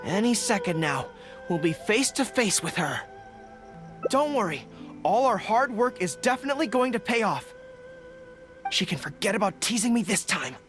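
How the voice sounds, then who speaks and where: A woman voicing an earnest, excitable young male dog-eared general in a video game, stammering when flustered. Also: mid-range voice, normal speed, long pauses, close to an everyday voice. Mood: determined. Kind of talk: video game character dialogue. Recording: game music behind the voice.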